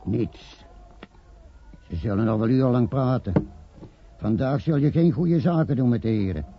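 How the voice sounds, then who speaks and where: Actor as a gravelly, gloomy pub regular. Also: male voice, mid-range voice, expressive, normal speed, lightly acted. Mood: weary. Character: gloomy, devout male bar customer. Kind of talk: Dutch radio play acting. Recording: dull, slightly noisy old radio recording.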